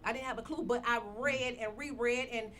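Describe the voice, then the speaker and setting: A woman, the show's executive producer, telling stories in a lively, restarting way on a radio roundtable. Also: a little high, a little fast, slight background noise. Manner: animated storyteller, many restarts.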